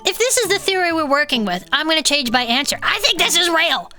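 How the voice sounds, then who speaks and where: A woman playing an excitable, earnest cryptid podcast co-host in comedic audio fiction, with playful, emphatic banter. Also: sits high, fast, faint music behind, heavily acted. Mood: convinced, delighted.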